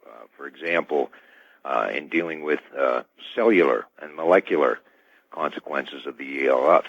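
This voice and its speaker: Male phone-in guest, extremely low pitch, muffled dry line, formal and halting delivery. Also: vocal fry, slightly rough, no room sound. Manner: halting, formal technical wording. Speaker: guest caller. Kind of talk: radio discussion by telephone. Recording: dull, muffled telephone audio.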